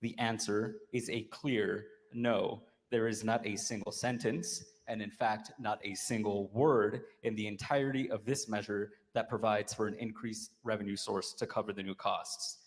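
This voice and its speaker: Deep flat-sounding guy lawmaker, slow formal vote speech, echoey hall. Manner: formal, deliberate. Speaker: state legislator. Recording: long reverb in a legislative chamber.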